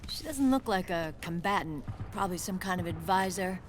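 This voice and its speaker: Woman acting a low-voiced tough young commander. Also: lively intonation, moderate pace, slight background noise, close to an everyday voice. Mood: businesslike. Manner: commanding, no-nonsense. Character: tough young female military squad commander, brisk and guarded. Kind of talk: dramatic video game voice acting.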